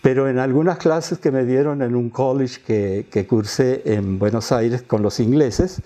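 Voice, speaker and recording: Mellow-voiced Argentine man in his eighties. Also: mid-range voice, a little slow. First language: Quechua. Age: 80s. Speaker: interview guest, former radio and TV announcer. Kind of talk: autobiographical storytelling in an interview.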